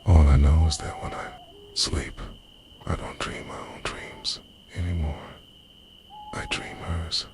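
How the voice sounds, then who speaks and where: Very deep, whispery obsessive lover played by a man. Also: wildly swooping pitch, slow, long pauses, exaggerated voice. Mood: brooding, tormented. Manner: confessional, brooding, half-whispered. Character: lovestruck, obsessive young man confessing he invades dreams. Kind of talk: intimate ASMR roleplay monologue.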